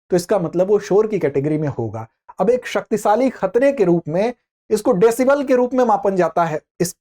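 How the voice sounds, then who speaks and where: Mellow-voiced current affairs lecturer. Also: medium pitch. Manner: didactic, posing questions and then answering them; repeats and rephrases key points. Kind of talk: explanatory exam-prep lecture on current affairs.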